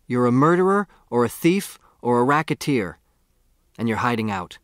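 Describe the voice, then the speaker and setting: A man's voice: medium pitch, big pitch swings, moderate pace, no room sound, clean studio sound, close to an everyday voice. A man voicing an earnest, curious boy who lives in the woods, in an audiobook. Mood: accusing. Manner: earnest, inquisitive.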